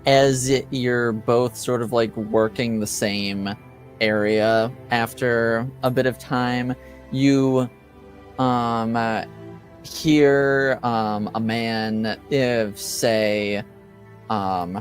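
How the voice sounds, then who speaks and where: A man running a tabletop game as game master, narrating haltingly with many ums and uhs. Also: mid-range voice, fairly even intonation, soft background music.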